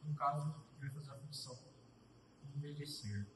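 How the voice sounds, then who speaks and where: A young man giving a casual live coding tutorial, thinking aloud as he types, with pauses and restarts. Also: on the high side, far from the mic, noisy background. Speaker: student presenter. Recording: roomy echo, voice off-mic.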